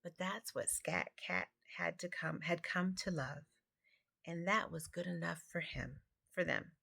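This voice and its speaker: A woman's voice: on the low side, measured pace, vocal fry, bright, forceful tone. A woman reading a children's picture book aloud, stumbling now and then over words. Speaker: amateur storybook reader.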